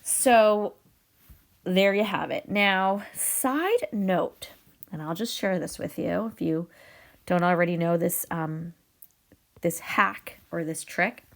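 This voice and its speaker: Raspy teacher woman fighting a cold. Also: on the low side, takes it slowly. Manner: upbeat, patient explaining with fillers. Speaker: math teacher. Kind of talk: video math lesson.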